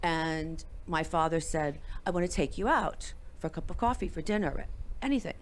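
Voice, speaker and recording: A woman who is a guest on a talk show tells her parents' love story with lively acted-out dialogue. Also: deep voice, normal speed, slight background noise. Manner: animated storyteller acting out family dialogue. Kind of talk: storytelling in an interview.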